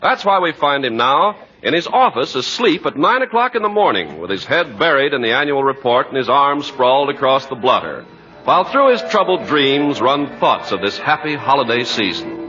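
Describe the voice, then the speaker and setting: A man's voice: medium pitch, big pitch swings, moderate pace, sharp tone, soft background music. A man working as a 1940s radio announcer, reading a script with polished, emphatic salesmanship. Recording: muffled 1940s radio recording.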